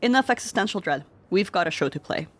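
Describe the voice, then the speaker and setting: A man's voice: high voice, expressive, fast, a bit bright, voice pushed up. A man voicing an anxious but spirited young woman musician in an audio drama. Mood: forced cheer.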